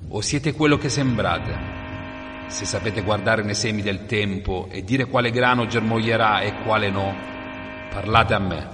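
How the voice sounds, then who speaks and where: A man performs a medieval general in a Shakespeare radio play, challenging uncanny beings in a low voice. His theatrical lines ring in long reverb, with loud music behind him. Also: a little slow, lightly acted. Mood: solemn, challenging. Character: medieval Scottish general questioning uncanny prophetic creatures. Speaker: prison inmate actor.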